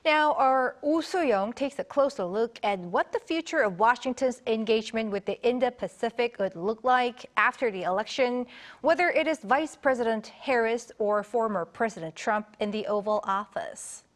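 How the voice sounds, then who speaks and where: A woman's voice: a little high, moderate pace. A woman news anchor presenting scripted headlines and introducing reports.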